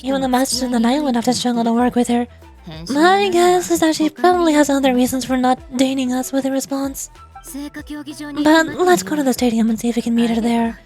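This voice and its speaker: Woman voices young female game guide: easygoing, thoughtful, measured delivery, fairly high register. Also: darkish tone, faint music behind, close to an everyday voice.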